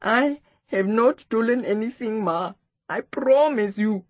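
A woman's voice: on the low side, expressive, slow pace, no echo. A woman voicing a meek, honest young schoolboy in a children's story, subdued, wounded and pleading. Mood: miserable, pleading.

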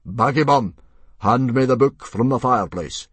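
A man playing a blustering Viking chief in a storybook, booming, pompous and emphatic. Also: sing-song, moderate pace, slightly rough, dry recording. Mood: scornful, brusque.

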